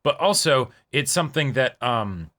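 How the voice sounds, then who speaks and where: A man's voice: mid-range voice, lively intonation, normal speed, fairly bright tone. A man hosting a reaction show, chatting casually and enthusiastically in a rambling way about a TV episode. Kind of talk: casual excited TV episode discussion.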